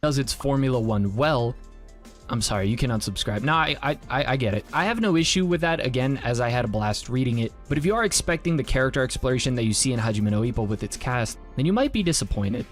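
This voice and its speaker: A man's voice: medium pitch, expressive, fast talker. Man narrating a review over music. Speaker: manga review video creator. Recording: music under the voice. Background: background music.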